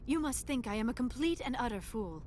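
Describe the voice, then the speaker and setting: A woman's voice: a little high, expressive, a little fast, darkish tone, a little hiss or hum, lightly acted. An actress voicing a young alien woman scientist in a video game, shy and earnest, with formal, precise diction. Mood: flustered. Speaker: archaeologist crew member.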